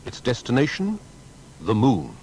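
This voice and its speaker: A man narrating a scripted biography in a measured, formal and dramatic way. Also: wildly swooping pitch, gravelly, a little mellow. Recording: faint background rumble and noise.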